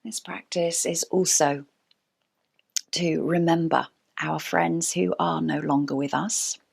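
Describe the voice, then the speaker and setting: A woman leads a guided relaxation as a yoga teacher. Her voice is deep for a woman and soft, with a creaky edge and occasional breathiness. She speaks very slowly and calmly, leaving gentle pauses between phrases. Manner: calm, unhurried, gentle pauses. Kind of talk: slow guided relaxation instruction.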